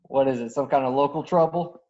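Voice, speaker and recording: A man's voice: medium pitch, brisk, warm tone, close to an everyday voice. A man playing a cocky, tough, roguish star pilot of about thirty in an online script reading. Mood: cocky bargaining. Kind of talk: script table read. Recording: dull, lo-fi online call.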